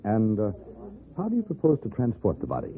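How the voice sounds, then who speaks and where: An actor playing a polite, formal frontier mortician in a radio western. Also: male voice, on the low side, huge pitch swings, fairly quick. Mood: politely formal. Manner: formal, courteous. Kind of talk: 1950s radio western acting. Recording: tinny old radio with hiss.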